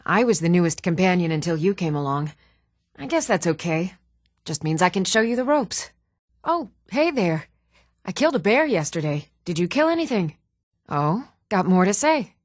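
A woman's voice: lowish voice, big pitch swings, normal speed, dry recording, clean studio sound. An actress playing an eager, proud young warrior woman in a fantasy video game, earnest and spirited. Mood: friendly, brisk.

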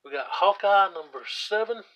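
A hoarse man casually walking through his comic book collection, reading out titles with offhand asides while he sits on the floor at home. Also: high voice, expressive, moderate pace, pushed and bright, poor audio. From US South. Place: indoors at home, seated on the floor by a shelf. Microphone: camera aimed at the shelf, speaker off screen.

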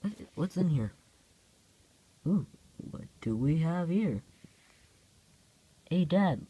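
A confused, injured son from a homemade comic, acted out by a man. He stammers and exclaims in a husky voice. His pitch swings about and his words come slowly. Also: animated, dark tone, exaggerated voice, rough recording. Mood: excited.

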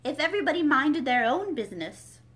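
A woman reading a storybook aloud, voicing a rude, short-tempered Duchess with a gruff growl. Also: high-pitched, very animated, brisk, fairly bright tone, voice pushed up. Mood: angry. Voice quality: hoarse growl.

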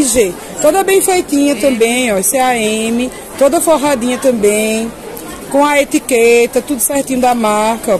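Female market presenter, Northeastern Brazilian accent, high pitch, informal and chatty with viewers, recorded amid clear crowd bustle. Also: low-quality recording. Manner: chatty, addressing viewers with repeated tag questions. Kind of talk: informal product showcase. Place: covered open-sided clothing market. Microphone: handheld camera, speaker behind it. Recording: busy crowd noise of covered market.

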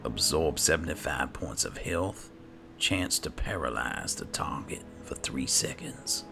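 Very deep, gravelly slow-talking man. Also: expressive, in a whisper. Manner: halting, musing to himself. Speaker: gaming host, player. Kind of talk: roleplay gameplay commentary. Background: game music.

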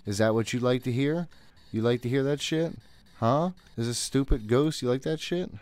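A man's voice: medium pitch, normal speed, a little mellow. A man in his thirties giving casual, jittery, profane gameplay commentary, often talking to himself. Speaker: gaming host. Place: room at home.

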